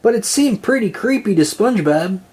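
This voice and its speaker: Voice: mid-range voice, expressive, normal speed, a little mellow, husky. A storyteller reading a children's picture book aloud in narration style. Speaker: storybook reader.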